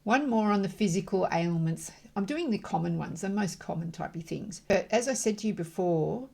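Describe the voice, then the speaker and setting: A middle-aged woman facilitating emotional freedom tapping, repeating affirmation phrases slowly and rhythmically between calm, chatty explanations. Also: mid-range voice, vocal fry, slightly rough. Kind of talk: guided tapping demonstration.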